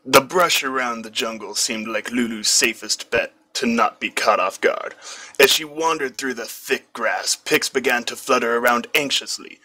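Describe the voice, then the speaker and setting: Bright, forceful male story reader. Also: medium pitch, moderate pace, poor audio. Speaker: fan fiction reader. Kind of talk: scripted comic narration.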